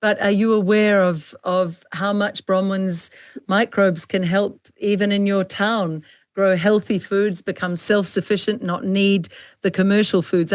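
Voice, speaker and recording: Female host, online panel chat. Medium pitch, dry sound, earnest and emphatic delivery. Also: no room sound. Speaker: interview host. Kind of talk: conversational online panel interview.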